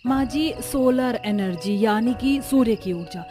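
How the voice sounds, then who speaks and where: A woman voicing earnest, plaintive village housewives and mothers-in-law in a cartoon story, with an expressive, emphatic delivery. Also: a little high, measured pace, faint music behind, natural voice. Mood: earnest explaining.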